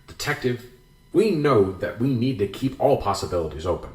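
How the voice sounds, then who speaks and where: An actor playing an older small-town police sergeant in a radio drama, plain-spoken, weary and defensive. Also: male voice, lowish voice, lively intonation, normal speed, close to an everyday voice. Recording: roomy echo with music behind. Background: music.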